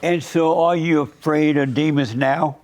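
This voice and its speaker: A man's voice: on the high side, fairly even intonation, a little slow, background noise. A man hosting a talk show, interviewing conversationally with short questions and brief reactions.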